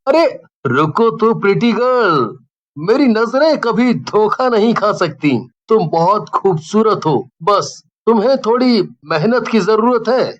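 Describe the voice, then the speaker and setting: Woman voicing a slow-talking, confident young male trainer. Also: medium pitch, lively intonation, highs cut off. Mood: confident, flattering. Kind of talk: Hindi horror cartoon character voice.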